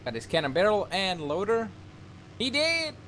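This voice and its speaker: A man in his twenties chats with a teammate while gaming, in a voice a little higher than average. His talk is casual and profane, full of urgent callouts. A faint rumble of game vehicles runs behind him. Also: sing-song, moderate pace, fairly bright tone. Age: 20s. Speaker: gaming video creator. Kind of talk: casual gameplay commentary and banter.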